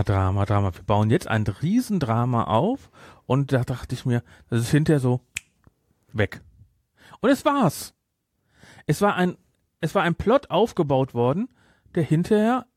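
A man with a German accent chatting casually about a film as a podcast host, with an animated, teasing manner. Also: medium pitch, measured pace, pauses often, dry recording, clean studio sound. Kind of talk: casual chat, film discussion.

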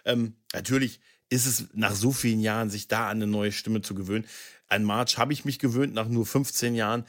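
A man's voice: lowish voice, fairly even intonation, fairly quick. A man co-hosting a podcast in casual, colloquial chat, often seeking agreement with little tag questions. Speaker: podcast co-host.

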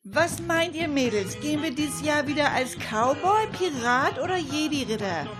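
A woman's voice: high voice, lively intonation, normal speed, over music. A woman playing a sociable, playful older hobby detective in an amateur radio crime play, full of chummy exclamations.

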